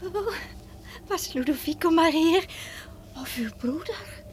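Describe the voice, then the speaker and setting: A woman's voice: extremely high pitch, expressive, slow pace, slight background noise, full character voice. An actress playing a fearful, pleading noblewoman in an old-fashioned radio drama, breathless and melodramatic. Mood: frightened.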